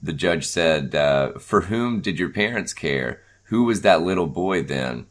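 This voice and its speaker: Deep-voiced laughing young man. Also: expressive, a little slow. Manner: laughs often, hesitant with many fillers. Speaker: podcast co-host. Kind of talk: casual podcast chat.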